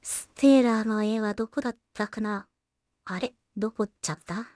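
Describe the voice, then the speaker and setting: A woman's voice: mid-range voice, normal speed, a little mellow, no room sound, studio quality. A woman creator casually thinking aloud as she works, muttering hesitantly to herself with polite asides to viewers. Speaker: solo animation creator and streamer.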